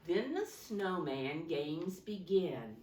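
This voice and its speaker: A woman reading a picture story aloud to young children in a sing-song way, pausing to point things out and ask simple questions. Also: on the low side, lively intonation, darkish tone, slight background noise. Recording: roomy echo, distant microphone.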